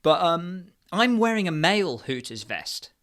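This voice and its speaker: A man's voice: sits high, very animated, measured pace, fairly bright tone. A British man co-hosting a podcast in casual chat, rambling and interrupting himself as he goes. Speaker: podcast co-host.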